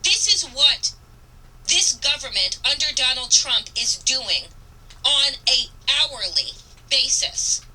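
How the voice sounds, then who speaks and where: A woman's voice: high voice, sing-song, pushed and bright, recorded from a distance, poor audio. A woman radio host giving impassioned political commentary, reading aloud and stressing key phrases with earnest, emphatic repetition. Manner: emphatic, earnest, deliberate delivery with dramatic repetition. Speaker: radio talk show host.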